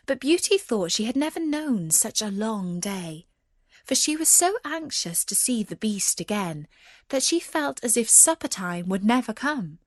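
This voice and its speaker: Slow, husky woman storyteller. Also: on the high side, no room sound, studio quality. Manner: measured, expressive storytelling. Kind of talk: scripted fairy-tale narration.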